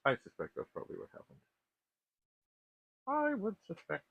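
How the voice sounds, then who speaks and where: A middle-aged man giving casual, unscripted tech commentary as he works, thinking aloud in a halting, self-questioning way. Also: high-pitched, wildly swooping pitch, creaky, no echo. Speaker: host of a vintage computer repair channel. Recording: muffled, phone-like narrow band.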